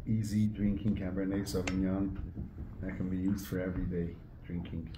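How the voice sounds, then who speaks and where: A man in his sixties, a winemaker explaining his trade casually in an interview, somewhat haltingly, recorded across a table indoors. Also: sits low, little pitch movement. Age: 60s. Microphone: phone camera across a table. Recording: roomy phone recording, faint background noise.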